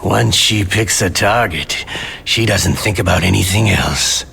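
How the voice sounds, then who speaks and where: Deep, gravelly game villain. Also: male voice, bright, forceful tone, a little hiss or hum, exaggerated voice. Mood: gloating. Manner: taunting, menacing, deliberate. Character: cold, gloating male villain interrogator, middle-aged. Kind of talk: dramatic video game voice acting. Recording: music under the voice.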